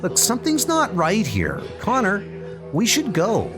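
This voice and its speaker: A man's voice: huge pitch swings, heavily acted. A man voicing an earnest, hesitant young superhero in a comic book dub. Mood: uneasy. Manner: hesitant, higher-pitched, hurried. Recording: music bed under the voice.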